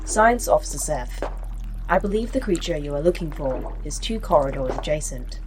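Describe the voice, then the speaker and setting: A woman playing a calm, formal artificial intelligence in a sci-fi audio drama, speaking with precision. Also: low-pitched, moderate pace, background noise. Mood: polite. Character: calm, formal, attentive female A.I. of a secret facility.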